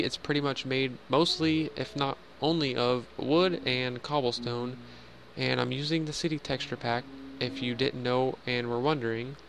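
A man's voice: mid-range voice, vocal fry, fairly bright tone, a little hiss or hum. A young man casually narrating a game house tour in voice-over, rambling with fillers and joking at himself. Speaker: Minecraft builder giving a house tour.

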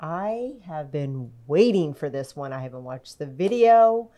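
A woman's voice: low-pitched, animated, a little slow, dark tone. A middle-aged woman chatting casually and eagerly about a music video she is reacting to, speaking into a podcast mic at home. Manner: enthusiastic, chatty, trails off and restarts. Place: home room with string lights. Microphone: boom-arm podcast mic.

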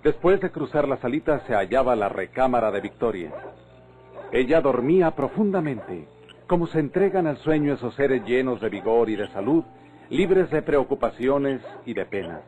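Expressive Mexican male radio drama narrator. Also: mid-range voice, normal speed, dull audio.

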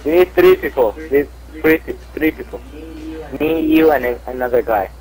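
A man chatting casually in an online game, talking haltingly and repeating himself. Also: fairly high pitch, darkish tone. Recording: faintly noisy game voice chat.

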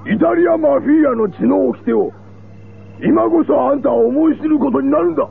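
An actor playing an old, impassioned, vengeful Italian mafia godfather in a Japanese radio drama, with a creaky voice. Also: very high-pitched, normal speed, exaggerated voice. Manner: theatrical, impassioned. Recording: tinny, noisy old radio recording.

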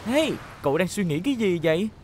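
A woman voicing a calm, assured police car who leads a rescue team in a children's cartoon. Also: lowish voice, fast talker, a little hiss or hum. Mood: reassuring. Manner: assured, helpful. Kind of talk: dubbed children's cartoon acting.

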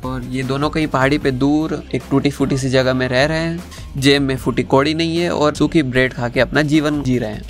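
Man narrating over music. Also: on the high side. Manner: conversational, mixes in English phrases. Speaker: anime review YouTuber. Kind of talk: review narration with plot recap. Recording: music under the voice. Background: background music.

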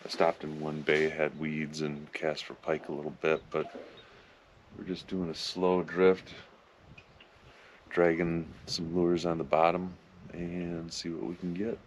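A man in his fifties casually narrating his fishing trip from a small boat on a lake, relaxed and matter-of-fact. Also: sits low, a little slow, background noise. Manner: relaxed, matter-of-fact, upbeat when a fish bites. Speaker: fishing vlogger. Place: small aluminum boat on an open lake, outdoors. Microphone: action camera mounted in the boat.

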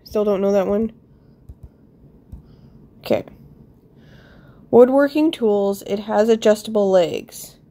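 A woman with a soft-edged, mellow voice and a blocked-nose sound. She speaks slowly at a middling pitch, thinking aloud in casual game commentary and gently putting herself down. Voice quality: congested, stuffed-up nose. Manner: thinking aloud, self-deprecating. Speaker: player commenting on own gameplay. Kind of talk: casual voice-over on a word puzzle game.

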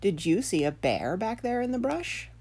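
A woman's voice: medium pitch, sing-song, moderate pace, a little mellow, breathy edge, voice pushed up. A woman playing a blustery, exclamatory fisherman in a children's tale. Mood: curious, dismissive.

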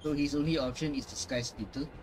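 A man's voice: medium pitch, normal speed, a little mellow, rough recording. A man making casual reactions as he plays a game, with music behind him. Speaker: gamer reacting to a playthrough.

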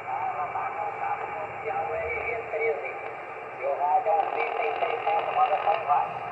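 A man's voice: low voice, very animated, normal speed. A Brazilian man in a casual two-way radio chat, repeating himself and ending phrases with short tag questions. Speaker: amateur radio operator. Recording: muffled, noisy radio setup, room echo.

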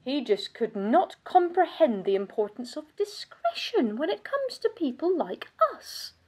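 An actress playing a bitter, world-weary aristocratic vampire countess in a theatrical monologue, pompous and sardonic in manner. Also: female voice, high-pitched, very animated, unhurried, dark tone. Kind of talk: scripted dramatic monologue.

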